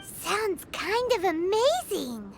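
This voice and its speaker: A woman voicing a small, cheerful, childlike fairy companion with a squeaky, expressive voice in a video game. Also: slow pace, gravelly, noisy background, exaggerated voice. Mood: amazed, eager. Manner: expressive, refers to herself by name. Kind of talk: cartoonish video game acting. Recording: game music behind the voice.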